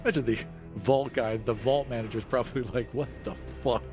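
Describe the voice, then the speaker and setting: A man's voice: medium pitch, steady tone, fairly quick, a little mellow. A man playing a tabletop roleplaying game, casually describing what his characters do. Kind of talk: casual play-by-play of game actions. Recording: faint music behind. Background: background music.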